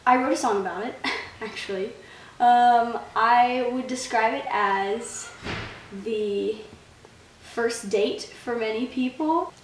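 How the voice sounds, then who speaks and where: A woman's voice: a little high, takes it slowly, a little hiss or hum. A young woman student reflecting casually in an interview, speaking informally with plenty of "like". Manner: informal, with frequent "like". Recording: roomy, distant mic, faint music.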